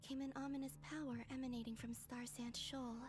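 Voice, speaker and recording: Woman voicing a soft, high-voiced, gentle young maiden. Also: flat delivery, brisk, music in the background, lightly acted. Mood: pensive. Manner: soft, breathy, musing. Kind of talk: video game voice acting, inner monologue.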